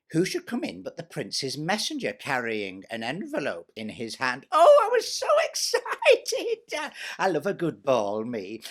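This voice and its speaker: A man's voice: mid-range voice, a bit bright. A man voicing a vain, bossy, spiteful stepsister in a chatty, self-satisfied comic pantomime monologue.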